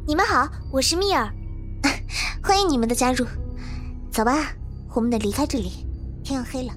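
Casting: actress as brave, kind young woman survivor, high voice, earnest delivery, music underneath. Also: normal speed, a bit bright. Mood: friendly. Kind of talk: Chinese fan audio drama acting.

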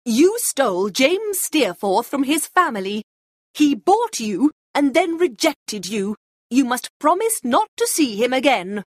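A woman's voice: sits high, big pitch swings, a little slow, no echo, studio quality, full character voice. A woman playing a jealous, scornful woman who talks in a cold, cutting way. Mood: cruel.

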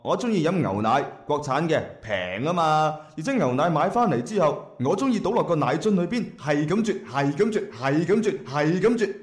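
An actor playing a brash, clueless young jobless graduate in a comic radio drama, with a Guangzhou Cantonese accent and a cheeky, rambling, hesitant manner. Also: male voice, fairly high pitch, big pitch swings, moderate pace, few pauses. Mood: cheerful, naive. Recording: echoey room.

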